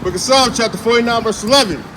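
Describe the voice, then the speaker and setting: A man slowly and deliberately reading Bible verses aloud outdoors on a city street. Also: very high-pitched. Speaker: scripture reader. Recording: street noise and passing traffic.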